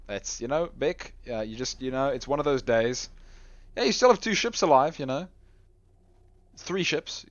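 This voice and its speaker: A man giving chatty live commentary on a game tournament, his voice going hoarse. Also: medium pitch. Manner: chatty, rambling, lots of restarts and laughs. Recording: dull lo-fi recording with faint music.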